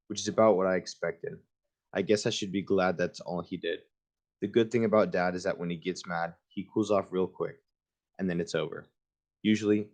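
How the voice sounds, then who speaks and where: A man's voice: sits low, a little mellow. A man reading a children's book aloud in an informal way, with casual asides of his own. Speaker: reader hosting a book livestream.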